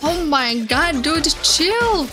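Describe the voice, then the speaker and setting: Young woman streamer, highish voice, gushing casual commentary, excitable, faint music behind. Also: slow. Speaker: streamer reacting to videos. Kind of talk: casual live reaction commentary. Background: game trailer music.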